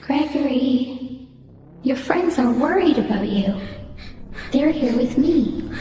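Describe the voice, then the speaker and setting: A woman's voice: high-pitched, animated, takes it slowly. A woman voicing a falsely sweet, unstable female animatronic in a video game, coaxing in a sugary way. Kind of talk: video game character dialogue. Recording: very echoey, distant, music behind.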